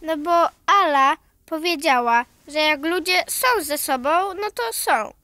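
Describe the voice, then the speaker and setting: A woman's voice: high-pitched, a little slow, bright, forceful tone, no echo. A woman playing an earnest, precocious, worried little granddaughter in a children's radio play.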